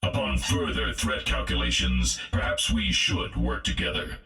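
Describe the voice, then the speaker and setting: Game role: a calculating robot, very deep, bright edge, roomy and distant. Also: male voice, normal speed, soft background music. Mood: cold. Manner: flat, calculating. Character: cold calculating robot man.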